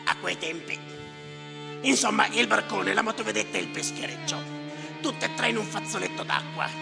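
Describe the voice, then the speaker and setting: Bitter, gravel-voiced stage grumbler. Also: male voice, high-pitched, normal speed. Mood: mocking, declamatory. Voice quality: raspy, gravelly. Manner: muttering to himself, sardonic, declamatory, repeating words obsessively. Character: bitter, cynical, grumbling male clerk tallying the drowned. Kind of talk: theatrical stage monologue with live music. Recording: reverberant hall, music behind voice. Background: music.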